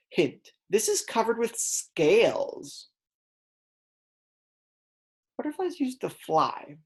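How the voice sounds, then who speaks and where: A woman's voice: sits low, darkish tone. A woman kindergarten teacher reading aloud a lesson for young children, explaining words simply and prompting her pupils.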